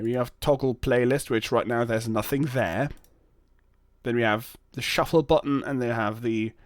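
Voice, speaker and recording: A man hosting a casual, unscripted software demo video, thinking aloud in hesitant, stop-and-start phrases. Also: medium pitch, dry recording, clean studio sound. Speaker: host of a Linux software video channel.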